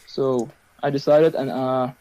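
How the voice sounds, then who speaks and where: A man who speaks Persian natively, practising English on a video call, talking haltingly with many fillers and restarts. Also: medium pitch, steady tone, dark tone, no room sound. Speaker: English learner. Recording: dull lo-fi video call audio.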